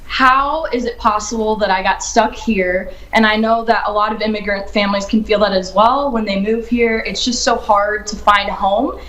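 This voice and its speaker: A woman's voice: medium pitch, fairly even intonation, moderate pace, hardly any pauses, fairly bright tone. A young woman who grew up in Ohio, an author answering interview questions in an earnest, upbeat way, thinking aloud as she goes. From United States, grew up in Ohio. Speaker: children's book author, guest. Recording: echoey room.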